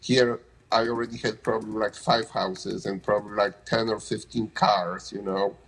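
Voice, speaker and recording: A man's voice: lowish voice, level tone, normal speed, vocal fry, low-quality recording. A middle-aged man with a Polish accent answering interview questions casually, often restarting phrases and adding "you know". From Poland. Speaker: interviewee, anesthesia provider.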